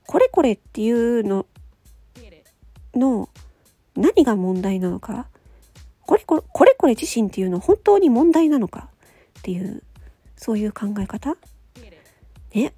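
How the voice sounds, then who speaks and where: A woman's voice: fairly high pitch, warm tone, husky, no room sound. A woman host chatting casually on her own, with a questioning, analytical way of talking. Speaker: host of a solo audio show, amateur voice actor. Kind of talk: casual solo chat.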